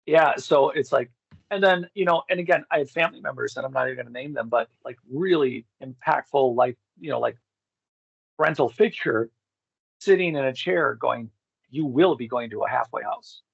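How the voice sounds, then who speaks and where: Gravelly middle-aged man, roomy muffled sound. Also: mid-range voice, moderate pace. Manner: candid, rambling, profane. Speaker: podcast interview guest. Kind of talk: casual personal storytelling.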